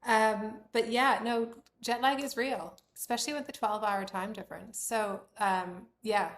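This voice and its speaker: A woman in her forties or fifties from Bermuda, hosting a knitting podcast in casual chat, her voice a little croaky and her talk rambling with lots of fillers. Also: on the high side, normal speed, roomy sound, slight background noise. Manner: chatty, rambling with many fillers. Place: room at home with doors closed.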